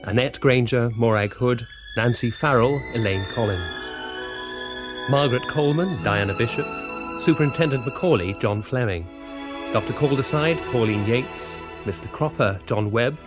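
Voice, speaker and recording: A man's voice: medium pitch, normal speed. A man reading a scripted cast and credits announcement as a radio announcer. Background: music.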